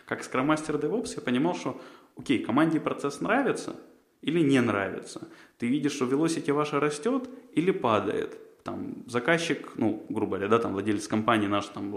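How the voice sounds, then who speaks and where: A man's voice: mid-range voice, expressive, fairly quick, echoey room. A man, a podcast co-host, answering interview questions in a rambling way, with many fillers and restarts. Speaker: job candidate, podcast co-host.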